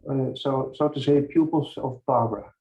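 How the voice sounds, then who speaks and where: A man's voice: medium pitch, moderate pace, highs cut off. A man introducing himself as a student in an online seminar, hesitant with fillers. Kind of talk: self-introduction on a call.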